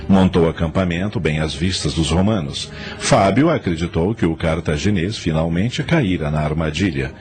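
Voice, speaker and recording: A man's voice: deep voice, moderate pace, few pauses, darkish tone. A man narrating a radio drama from a script, telling the story in a dramatic style.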